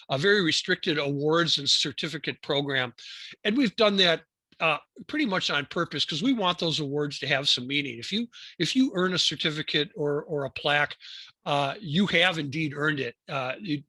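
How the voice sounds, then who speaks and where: Male American club presenter. Slightly higher-pitched, crisp bright tone, hesitant informal delivery with doubled-back phrases. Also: no echo. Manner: halting, repeats words and phrases, hesitant delivery. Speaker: amateur radio club presenter.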